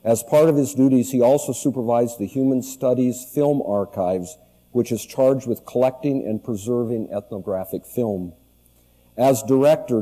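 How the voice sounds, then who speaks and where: A man's voice: mid-range voice, dark tone, big-room sound, poor audio. A man introducing a guest speaker at a public lecture, reading formally from notes. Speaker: event host introducing the speaker. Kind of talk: read introduction. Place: lecture hall.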